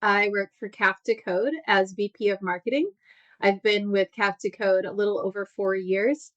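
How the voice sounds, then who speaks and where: A woman's voice: medium pitch, steady tone, a bit bright, lo-fi sound. A woman in her thirties with a Chicago accent, chatting loosely and easily as an interview guest. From Wisconsin. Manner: loose, chatty, quick to laugh along. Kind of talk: casual interview chat.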